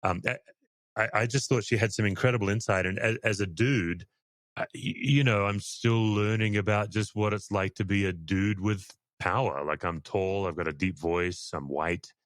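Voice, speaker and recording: A man's voice: lowish voice, moderate pace, no room sound. A middle-aged man hosting an interview, speaking candidly and reflectively with a self-deprecating touch. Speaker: podcast host, church leader. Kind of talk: conversational interview.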